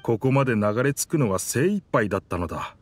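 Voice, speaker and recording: A man's voice: medium pitch, sing-song, a little fast, soft background music. A man voicing a game character, the proud, wistful spirit of an old pirate warship, with rugged, old-fashioned, theatrical speech. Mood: bitter, sorrowful.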